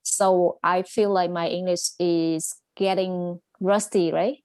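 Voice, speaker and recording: A woman's voice: on the low side, steady tone, slow pace, darkish tone, clean tone, no echo. A woman hosting a casual conversation, talking in a friendly, agreeable way with hesitant fillers. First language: Chinese. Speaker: host.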